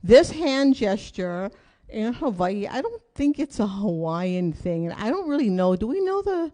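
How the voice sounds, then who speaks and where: A woman's voice: mid-range voice, big pitch swings, a little slow, mellow. A woman teaching an online hula class, upbeat and chatty as she calls out steps, counts and questions to viewers from a room indoors. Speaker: hula instructor. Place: indoor room with curtains closed.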